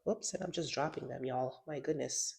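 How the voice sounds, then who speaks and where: A woman's voice: a bass voice, moderate pace, gravelly, slightly rough. A woman with a stuffy nose from a cold, chatting casually through a gardening how-to vlog and saying "y'all" often. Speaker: gardening vlogger.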